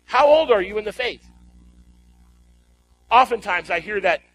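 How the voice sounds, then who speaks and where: A man's voice: high-pitched, lively intonation, unhurried, gravelly, poor audio. A man preaching a sermon as a pastor, emphatic and exhorting, repeating phrases and putting rhetorical questions to his congregation.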